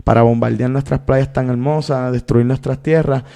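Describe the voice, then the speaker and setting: A Puerto Rican man in his thirties speaks as an interview guest, his voice gravelly with a dark, mellow tone. He tells his story in long, earnest stretches, unhurried and full of fillers. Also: medium pitch, steady tone. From Puerto Rico. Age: 30s. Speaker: guest, activist and filmmaker.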